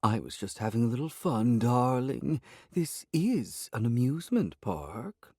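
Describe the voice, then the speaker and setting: Man doing a bossy female cat, storybook, high slow drawl, snippy, imperious, dry close recording. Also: sing-song, dark tone, breathy edge, no room sound, full character voice. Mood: teasing. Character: haughty demanding female talking cat.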